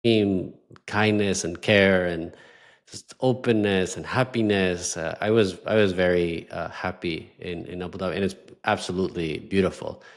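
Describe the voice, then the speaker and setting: A man raised in Ecuador hosting a podcast interview, talking in a halting way and often repeating words. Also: sits low, steady tone. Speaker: podcast host. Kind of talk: casual interview talk.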